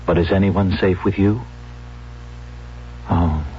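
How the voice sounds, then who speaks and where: A man's voice: low voice, steady tone, slow pace, a little hiss or hum. An actor playing an evasive, placating man in a 1970s radio drama, soothing and hesitant, on a muffled vintage recording. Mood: grave, cautious. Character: evasive, placating man loved by an unstable woman.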